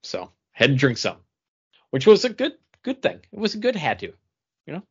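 A man's voice: fairly high pitch, wildly swooping pitch, moderate pace, a little mellow, slightly rough. A man talking casually as one of the hosts of a chatty podcast. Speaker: podcast host. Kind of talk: casual chat. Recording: slightly dull, lo-fi recording.

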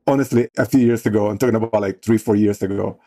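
A man's voice: on the low side, steady tone, fast, mellow. A man in his forties from the Dominican Republic, speaking with a Dominican Spanish accent, telling his story as a podcast guest with frequent restarts and "you know"s. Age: 40s. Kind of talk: casual storytelling.

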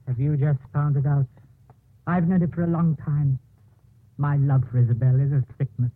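An actor playing a menacing, lovesick doctor turned murderer in a 1950s radio drama, with an intense, brooding delivery. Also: male voice, a little high, steady tone, normal speed, slightly rough. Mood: bitter confession. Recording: muffled, noisy 1950s radio broadcast recording.

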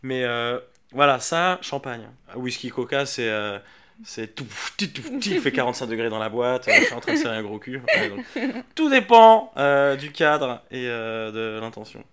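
A man, a comedian guest on a podcast, talking in a playful, animated way, laughing often and acting out little scenes. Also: mid-range voice, normal speed. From Geneva, Switzerland. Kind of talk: casual podcast chat.

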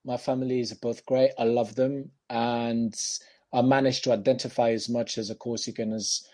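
A man's voice: mid-range voice, level tone, moderate pace, a little mellow. A young French man, a guest actor in a podcast interview, talking in a loose, reflective way with many fillers. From France, Paris.

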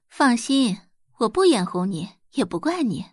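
A woman's voice: fairly high pitch, sing-song, takes it slowly, slightly rough, dry recording, studio quality, heavily acted. A woman voicing a serene, smiling female teacher in an audiobook, with a light, placid, amused manner. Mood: amused calm. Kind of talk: audiobook character acting.

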